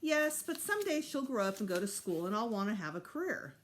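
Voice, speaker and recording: Busy mother in a children's storybook read by a woman. Frank, explanatory, animated pitch movement. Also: fairly quick, a little hiss or hum, close to an everyday voice. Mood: earnest.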